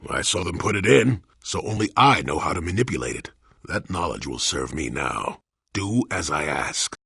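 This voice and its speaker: A man playing a cantankerous, wry old hermit in a video game, with a raspy, gravelly voice and a crotchety, theatrical delivery. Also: rumbling low, big pitch swings, normal speed, sharp tone, no room sound, clean studio sound, exaggerated voice. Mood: firm, bargaining. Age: older. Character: cantankerous wry old male hermit, a Force-sensitive former Jedi. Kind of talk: video game character voice acting.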